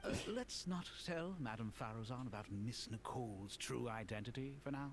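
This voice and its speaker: A man's voice: medium pitch, expressive, normal speed, heavy background noise, lightly acted. A man voicing a courteous young scholar in a video game, earnest and a little hesitant. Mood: flustered.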